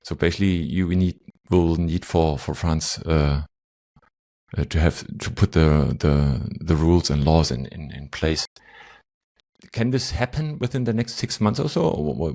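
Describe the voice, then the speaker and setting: A man's voice: very deep voice, moderate pace, creaky, a little mellow. A man hosting an interview podcast, asking long rambling questions and checking in with "right?". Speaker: podcast host.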